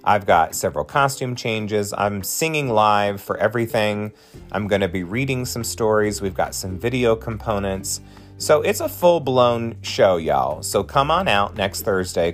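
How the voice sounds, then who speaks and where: A man in his forties hosting a podcast, talking in an effusive, encouraging way straight to his listeners. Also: fairly low pitch. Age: 40s. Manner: chatty, effusive and encouraging, addresses listeners directly. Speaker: podcast host and drag performer. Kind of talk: casual podcast monologue.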